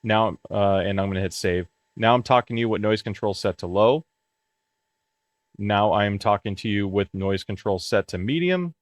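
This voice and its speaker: A man's voice: lowish voice, normal speed. A man casually explaining a headset's features on the headset's own mic, with occasional filler words. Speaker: tech reviewer. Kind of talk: product review walkthrough. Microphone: headset microphone under test.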